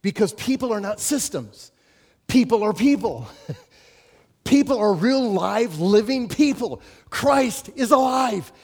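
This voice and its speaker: A man preaching to his congregation in a somewhat high voice. He presses his points with rising emphasis and then settles into calm, explanatory teaching. He addresses the listeners directly as friends. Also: slow, husky. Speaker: pastor. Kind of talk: sermon.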